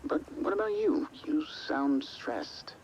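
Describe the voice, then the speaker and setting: An affectionate husband and father soothes and gently teases. His creaky voice swings widely in pitch, with faint noise behind this game dialogue. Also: wildly swooping pitch, slow, lo-fi sound, full character voice. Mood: reassuring. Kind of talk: naturalistic video game drama acting.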